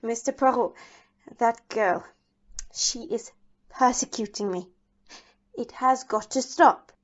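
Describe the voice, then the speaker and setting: A woman acts out a wealthy young married lady in an audio drama. Her voice is high, with a proper, imperious manner and an anxious edge. The sound is slightly dull and muffled. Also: takes it slowly. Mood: indignant, flustered. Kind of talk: amateur audio play acting.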